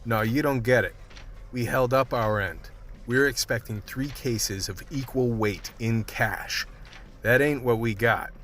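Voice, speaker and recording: An actor playing a tough, world-weary crew leader in a radio drama, blunt, terse and streetwise. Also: male voice, mid-range voice, a little slow, fairly bright tone, a little hiss or hum, close to an everyday voice. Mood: hard, defiant. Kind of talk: radio drama acting with noir narration.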